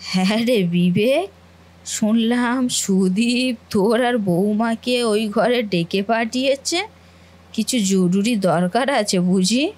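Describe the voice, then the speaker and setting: A woman's voice: on the high side, slow pace, darkish tone. A woman voicing a scheming, meddlesome aunt in a cartoon family melodrama, talking in an insinuating, goading way. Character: scheming meddlesome aunt poisoning a nephew's ears.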